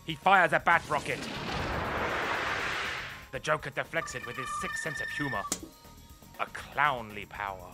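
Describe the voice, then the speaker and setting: A man playing the straight-faced narrator of an absurd superhero radio play, reading short declarations deadpan. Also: mid-range voice, a little slow, pauses often, loud music behind the voice.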